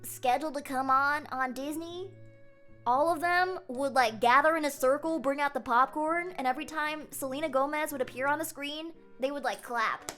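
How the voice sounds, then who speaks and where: A woman in her twenties, chatty and rambling with quick laughs, reading chat questions aloud on a casual live stream. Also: high-pitched, sharp tone, soft background music.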